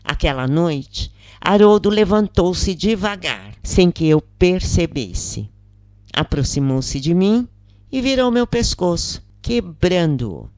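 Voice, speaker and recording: A woman voicing a rich dead woman's repentant spirit, confessing frankly and deliberately in an audiobook. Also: lowish voice, big pitch swings, a little mellow, no echo. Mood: grave recounting.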